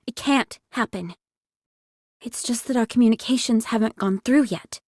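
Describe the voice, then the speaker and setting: A young female android commander , voiced by an actress, holds a squad together with firm, insistent urging. Her voice is on the high side, earnest and strained. The game drama recording is dry and close. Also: normal speed, a little mellow, no echo, close to an everyday voice. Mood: determined.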